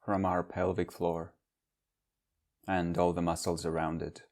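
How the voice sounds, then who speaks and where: A man leading a guided meditation as a teacher, speaking in measured, instructional phrases with long pauses. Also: sits low, level tone, darkish tone.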